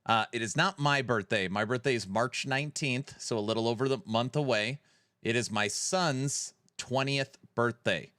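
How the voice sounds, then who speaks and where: A forty-year-old man hosts a casual live stream, chatting and reading out viewer messages. His voice is bright and crisp. It sounds dry and close. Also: mid-range voice, moderate pace, no echo. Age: 40s. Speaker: streamer host.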